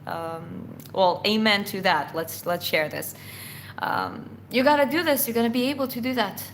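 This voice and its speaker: A woman's voice: mid-range voice, expressive, moderate pace, a little hiss or hum. Georgian woman with an Eastern European accent. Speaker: livestream host answering chat questions. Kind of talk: casual Q&A chat.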